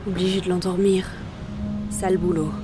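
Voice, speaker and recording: A woman's voice: on the low side, level tone, moderate pace, over music, close to an everyday voice. An actress playing a weary, commanding female reaper of souls in an audio drama, terse and matter-of-fact. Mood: resigned duty.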